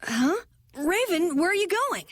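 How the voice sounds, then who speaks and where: A woman's voice: very high-pitched, big pitch swings, a little slow, no echo, full character voice. A woman voicing an earnest, inquisitive young boy adventurer in a video game. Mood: curious. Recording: music under the voice.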